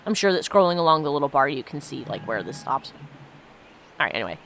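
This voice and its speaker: A woman's voice: low-pitched, very animated, fast, a bit bright, slight background noise. A woman giving casual, reflective commentary as she plays a game and reads its story aloud. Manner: musing, reflective. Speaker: let's play host.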